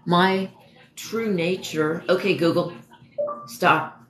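A hoarse young woman chatting and rambling on a livestream from home, reading viewer comments. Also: lowish voice, fairly even intonation, slow pace, echoey room. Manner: chatty, rambling, self-correcting. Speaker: livestream host. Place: dim home room at night. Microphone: phone camera at arm's length.

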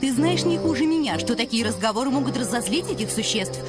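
A woman playing a kindly, superstitious middle-aged farmwife in a dubbed cartoon, earnest and gently chiding. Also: fairly high pitch, expressive, quick pace, lightly acted. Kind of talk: animated film dub. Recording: music behind the voice.

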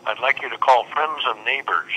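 Bright-voiced, patient hotline operator. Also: male voice, medium pitch, moderate pace, husky, rough recording. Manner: patient, formal, keeps saying sir and please. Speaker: UFO reporting hotline operator. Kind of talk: phone call.

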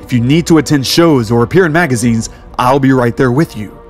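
A man's voice: mid-range voice, brisk, lightly acted. An actor playing a devoted, protective man in a romance audio drama, serious and reassuring. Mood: tender, reassuring. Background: background music.

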